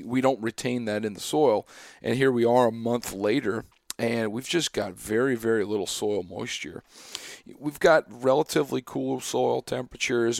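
A young man hosting a podcast, hoarse and losing his voice, talking in a loose, rambling way with many fillers and restarts. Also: fairly low pitch, dry recording, studio quality. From Pennsylvania. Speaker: podcast host. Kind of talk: casual chat.

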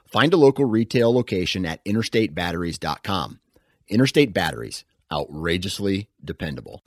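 A man reading a scripted radio ad in a smooth, even sales delivery. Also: low-pitched, moderate pace, dry recording, clean studio sound. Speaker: ad announcer.

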